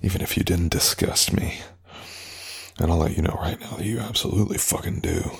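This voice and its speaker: Man doing a cold, controlling lover: low breathy whisper, close and taunting. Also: fairly quick, heavily acted. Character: cold, domineering, cruel male lover. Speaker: audio roleplay performer. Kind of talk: whispered adult audio roleplay.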